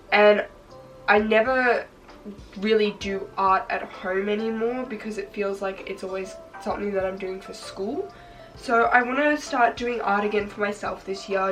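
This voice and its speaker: A teenage girl, her voice hoarse and congested, chatting upbeat with lots of "like" fillers as she makes a craft project on her bed. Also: mid-range voice, recorded from a distance, slight background noise, low-quality recording. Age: teens. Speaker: vlogger. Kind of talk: casual chat while crafting. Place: bedroom, sitting on her bed.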